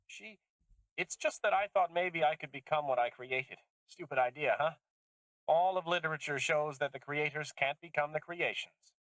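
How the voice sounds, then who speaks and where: A man voicing an older woman geneticist, bitter and intense, speaking emphatically in a raised pitch. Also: moderate pace, fairly bright tone, no room sound, natural voice, rough recording. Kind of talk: audiobook character voice.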